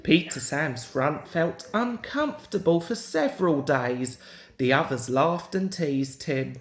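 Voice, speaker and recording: Slow, low-pitched woman reading a storybook. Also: animated, reverberant. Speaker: storybook reader. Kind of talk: children's story narration.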